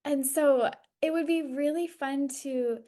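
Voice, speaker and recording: Slow, mellow-voiced woman podcast host. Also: sits high. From Hawaii. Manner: warm, enthusiastic, affirming. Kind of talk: friendly interview over video call. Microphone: video call.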